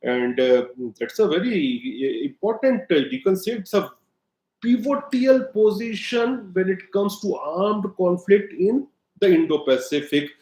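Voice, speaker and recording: A man with an Indian accent, a native Hindi speaker, talks in a fairly high voice. He delivers blunt, emphatic commentary, often pausing on "uh". The voice rings in an echoey room. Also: animated, a little mellow. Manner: blunt, emphatic, frequent "uh" fillers. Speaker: political commentator. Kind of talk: solo commentary monologue.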